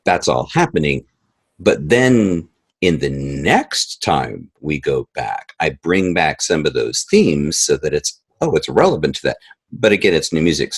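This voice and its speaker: A man with a low voice talks through his work in an interview. The sound is dry and close, and his delivery is conversational, with short acted-out asides as he explains. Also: sing-song, a little slow, breathy edge, no room sound. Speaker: composer and sound editor of an audio drama.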